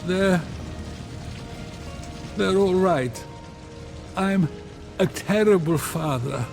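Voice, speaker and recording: Game role: weary, regretful divine father, very creaky and halting, music underneath. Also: high-pitched, a little mellow, full character voice. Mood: remorseful. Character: remorseful father god, ruler of the universe. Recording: clear music behind the voice.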